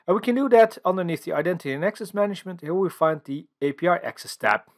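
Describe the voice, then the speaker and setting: Male presenter, Dutch accent, higher-pitched voice, explanatory delivery full of uhs, tech-talk narration over slides. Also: lively intonation, fast talker. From Netherlands. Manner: explanatory, filler-heavy with frequent uhs. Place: voice-over for screen recording and slides.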